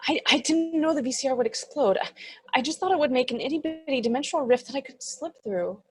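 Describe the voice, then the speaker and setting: A woman's voice: sits high, fast talker, soft background music, close to an everyday voice. A woman playing an insecure thirteen-year-old demon, timid and apologetic, in a comedy script read.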